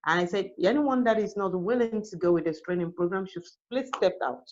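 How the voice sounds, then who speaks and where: A woman boxing coach tells a story on a podcast, acting out her own quoted words. Her voice sits at a middling pitch. The audio is dull and lo-fi, like a web call. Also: fairly quick, a little mellow. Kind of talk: casual podcast chat.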